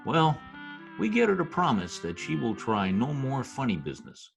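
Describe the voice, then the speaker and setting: A man's voice: mid-range voice, very animated, moderate pace, gravelly, faint music behind. A man playing a wry, skeptical Broadway wise guy in a 1940s-style radio drama, wisecracking in stiffly formal slang. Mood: wry storytelling. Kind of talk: old-time radio drama, present-tense slangy narration and dialogue. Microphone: video call recording.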